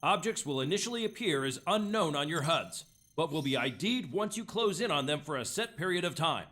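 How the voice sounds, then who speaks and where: A man's voice: a little high, animated, a little fast, a bit bright. A man playing a composed, formal military officer delivering a businesslike mission briefing in a video game.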